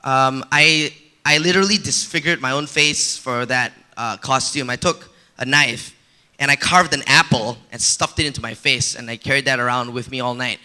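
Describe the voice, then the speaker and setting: A man from Malaysia giving a conference talk on stage in a relaxed, personal way with frequent fillers. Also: medium pitch, normal speed. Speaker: conference speaker. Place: conference stage before an audience.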